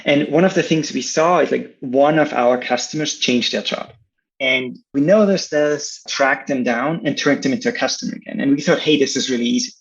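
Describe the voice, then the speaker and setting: A man with an Austrian accent and a gravelly, creaky edge to his voice. He is a native German speaker giving casual explanations as an interview guest. The sound is a little dull and lo-fi. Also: medium pitch, a little fast. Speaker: interview guest, startup co-founder.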